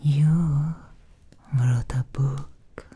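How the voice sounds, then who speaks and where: A woman playing an ancient, possessive female vampire in an ASMR roleplay, speaking in a breathy, seductive whisper with lingering, deliberate pauses. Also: deep voice, steady tone, dark tone, heavily acted. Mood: intimate, obsessed.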